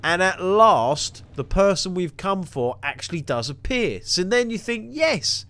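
A man's voice: sits high, big pitch swings, measured pace, fairly bright tone. A young man giving a rambling, jokey walkthrough of a video game with self-mocking asides. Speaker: gaming video host. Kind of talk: casual gameplay walkthrough commentary.

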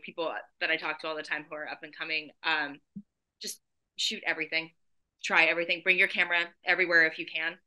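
A woman's voice: fairly low pitch, rough recording. A woman in her forties, a photographer and guest, talking in a chatty, enthusiastic way over a video call from her living room. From San Diego, California. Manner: chatty, enthusiastic, animated hand gestures. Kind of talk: casual interview on a video call.

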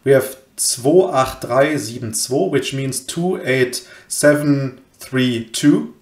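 A man gives an informal explainer over slides. His voice sits at an ordinary pitch, and the room adds a clear echo around it. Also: takes it slowly. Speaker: presenter of an educational cryptology channel. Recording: roomy, echoey home recording.

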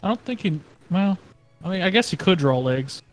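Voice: mid-range voice, animated, normal speed. A voice of unclear sex banters casually, joking and teasing. Faint music plays behind it.

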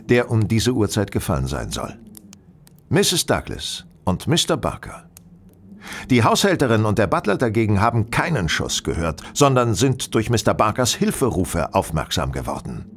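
A shrewd, self-assured detective in a radio drama. His delivery is precise and courteous, theatrical, with lively swings in pitch. Faint ambience sits behind him. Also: normal speed, a little hiss or hum. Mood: deliberate. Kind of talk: German radio play acting.

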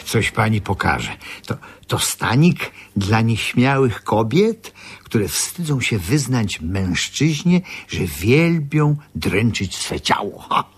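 Husky, insinuating man. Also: fairly low pitch, big pitch swings, slow pace, exaggerated voice. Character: unctuous, unsettling corset-maker, self-important male artisan. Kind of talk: radio drama acting.

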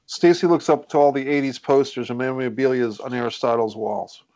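A man's voice: mid-range voice, fairly even intonation, a little fast, darkish tone, no echo, muffled recording. A man hosting a script read and reading out the stage directions. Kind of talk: scripted screenplay narration. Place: indoors on a group video call.

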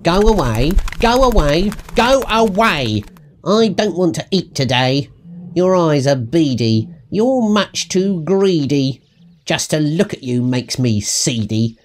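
A man's voice: on the high side, big pitch swings, slow, pitched above the performer's own voice. A man voicing a bossy, grumpy grey female bird in an emphatic, sing-song storybook rhyme. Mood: grumpy, dismissive.

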